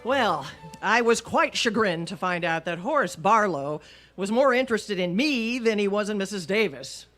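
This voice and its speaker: A woman's voice: medium pitch, animated, moderate pace, fairly bright tone, faint music behind, natural voice. A woman playing a wry, self-deprecating schoolteacher with dry asides in an old-time radio comedy.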